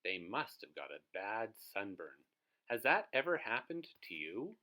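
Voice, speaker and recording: A man's voice: fairly low pitch, very animated, measured pace, pushed and bright. A man reading a children's storybook aloud as host, expressively, putting questions to his young listeners.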